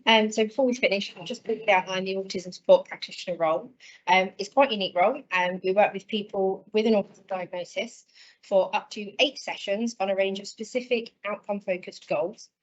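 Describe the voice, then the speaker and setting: A woman outlines her support role in a brisk, informative way, filling pauses with ums. Her voice sits in a middle pitch. The sound is muffled and lo-fi. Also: fairly even intonation. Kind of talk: presentation at an online event. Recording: dull lo-fi sound.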